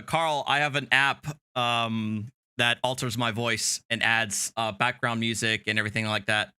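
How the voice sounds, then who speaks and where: A man hosting a livestream, chatting casually in a hesitant, halting way. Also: medium pitch, sharp tone, smooth, dry recording, studio quality. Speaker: livestream host.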